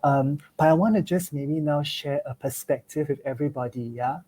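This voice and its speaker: Singaporean male teacher, earnest, explanatory, slightly high and mellow voice. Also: normal speed. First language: English is first language. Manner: earnest, explanatory, checks in with listeners. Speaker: teacher sharing with fellow teachers. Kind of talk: online discussion among teachers.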